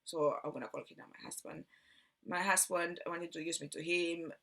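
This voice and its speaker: She is a woman with a Spanish accent and a fairly low voice full of vocal fry. She talks as a vlogger recounting personal experiences, in loose, rambling storytelling. Her delivery is halting, with words repeated and thoughts left hanging. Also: fairly even intonation, a bit bright. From Barcelona, Spain. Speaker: video host telling personal story.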